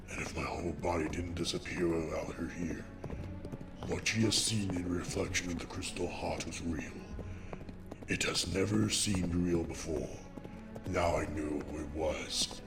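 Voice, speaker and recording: A man's voice: low-pitched, fairly even intonation, a little slow. A man voicing a brooding young male unicorn who tells his own story in anguished, dramatic fashion for a fan comic dub. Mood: resigned, anguished. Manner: dramatic, heavy, confessional delivery. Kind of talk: scripted first-person narration, fan comic dub. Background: loud music.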